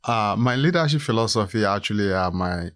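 A man from Ghana talking about his career in a relaxed interview, measured and pausing with many fillers. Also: on the low side, dry recording, studio quality. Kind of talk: casual interview chat.

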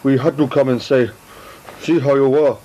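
A man voicing a kindly male ally who speaks gently and hesitantly, warning of danger. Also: mid-range voice, steady tone, moderate pace, dull audio, lightly acted. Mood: concerned.

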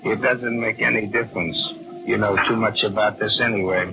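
An actor playing a coolly menacing killer on the run in a vintage radio drama, mock-polite and curt. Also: male voice, fairly low pitch, fairly even intonation, fairly quick, lightly acted. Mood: wary, controlled. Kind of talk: 1940s-50s radio drama acting. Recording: dull old radio recording, music behind.

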